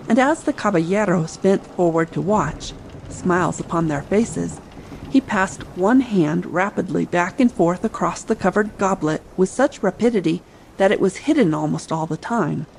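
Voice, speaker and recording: A woman narrates a scripted bedtime adventure audiobook. Her voice sits at a middle pitch, with a faint ambient sea bed running behind it. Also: darkish tone, slight background noise, poor audio. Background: ambient sea sound bed.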